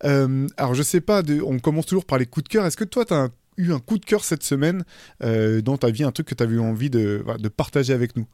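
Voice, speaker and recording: Quick-talking podcast host man. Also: medium pitch, warm tone, dry recording, clean studio sound. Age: 40s. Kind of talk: casual chat.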